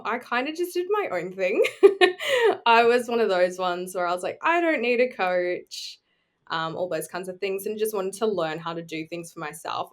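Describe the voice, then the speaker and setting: A woman, chatting informally as a podcast guest and telling her own story. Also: fairly low pitch. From New Zealand, raised on Australia's Gold Coast. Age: 20s. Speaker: podcast guest, bodybuilding competitor. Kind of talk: casual chat, personal storytelling.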